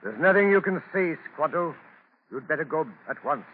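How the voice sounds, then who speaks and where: A man's voice: a little high, lively intonation, moderate pace, a little hiss or hum, natural voice. An actor playing a hard, suspicious, impatient male colonist, curt and insistent in 1940s radio style. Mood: insistent, hostile. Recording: tinny, echoing old radio recording.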